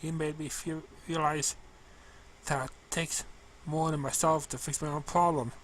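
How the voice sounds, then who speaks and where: A man voicing a lonely, gentle female pony in a cartoon fan story, speaking softly and earnestly. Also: fairly high pitch, level tone, a little slow, a little hiss or hum. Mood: grateful, gentle.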